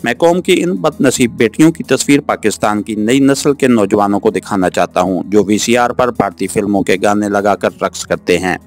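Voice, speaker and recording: A man reading a novel aloud as an audiobook narrator, in an earnest, expressive storytelling style. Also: fairly low pitch, normal speed, hardly any pauses. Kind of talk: dramatic scripted novel narration. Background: background music.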